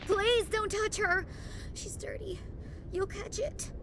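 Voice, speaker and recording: A woman playing a fearful, dissociated young former slave in a video game, speaking haltingly and childlike. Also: very high-pitched, steady tone, noisy background. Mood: frightened pleading. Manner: speaks of herself in third person, halting. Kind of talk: dramatic video game acting.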